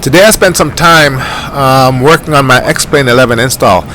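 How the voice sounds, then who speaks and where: A middle-aged Jamaican man talks through a simulated flight in an unhurried, rambling way, dropping "uh" and "you know" as he goes. His pitch sits in an ordinary middle range. A steady, clearly audible aircraft engine rumble runs under his voice. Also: poor audio. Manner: rambling, easygoing, many fillers. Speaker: flight sim streamer. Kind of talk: casual gameplay commentary.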